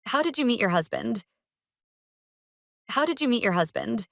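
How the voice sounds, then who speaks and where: A woman reading everyday English phrases clearly, each one twice, for learners. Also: a little high, normal speed, dry recording, studio quality. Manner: clear, careful, even delivery. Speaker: phrase reader.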